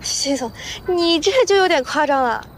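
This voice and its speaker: A young actress playing a playful, stubborn young food lover in a TV romance drama. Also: female voice, high voice, expressive, moderate pace, soft background music. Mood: teasing. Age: young adult.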